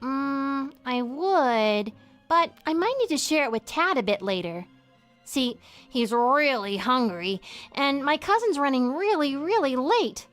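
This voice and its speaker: A polite little girl in a cartoon adventure game. She sounds sweet and earnest, with a high, very lively childlike voice over soft music. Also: measured pace. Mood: wistful. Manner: childlike, cutesy. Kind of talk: animated adventure game acting.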